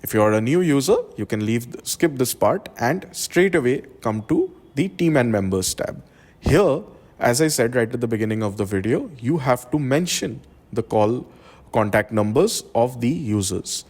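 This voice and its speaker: A male presenter narrates an instructional software tutorial. His voice sits at a medium pitch. He explains the process one step at a time, as a guide to the viewer. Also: animated, moderate pace.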